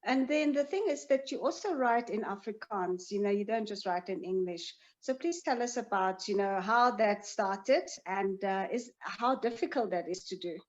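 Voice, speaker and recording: Woman, interview host, encouraging, casual, mid pitch, dull lo-fi sound. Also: normal speed, few pauses. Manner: encouraging, uses "you know" often. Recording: dull lo-fi audio.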